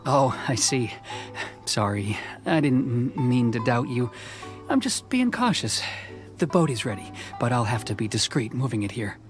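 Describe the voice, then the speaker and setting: A man's voice: mid-range voice, big pitch swings, moderate pace, natural voice. An actor playing a nervous, cautious young man in a video game who hesitates and stammers politely. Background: game background music.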